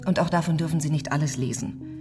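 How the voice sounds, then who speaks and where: Actress as female civil servant, deep for a woman, measured, formal, guitar music behind, radio drama. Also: lightly acted. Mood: official, restrained. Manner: measured, bureaucratic. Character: formal, restrained female archive official.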